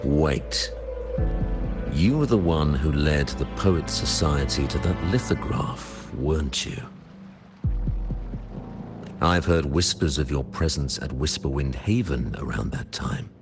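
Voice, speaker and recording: Very deep, imperious lord. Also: male voice, expressive, exaggerated voice. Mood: suspicious, probing. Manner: formal, measured, commanding. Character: grave, imperious lord, wary and probing. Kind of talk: dramatic video game dialogue. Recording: background music under the dialogue.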